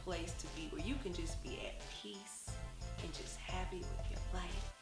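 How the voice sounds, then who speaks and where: A woman co-hosting a casual talk show, chatting animatedly and sometimes slipping into a soft, soothing voice. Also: on the low side, steady tone, moderate pace, roomy sound, loud music behind the voice. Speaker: podcast co-host. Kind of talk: casual chat.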